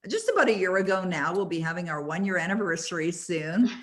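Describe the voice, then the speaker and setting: A woman coaching a group over an online call, with a somewhat low-pitched voice. She speaks emphatically, hammering home key points by repeating them, in the manner of a motivational webinar host. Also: lively intonation, brisk.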